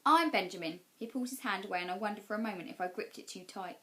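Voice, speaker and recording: Female storybook narrator, mid-range pitch, expressive classroom read-aloud style. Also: rapid-fire. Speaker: teacher reading class storytime.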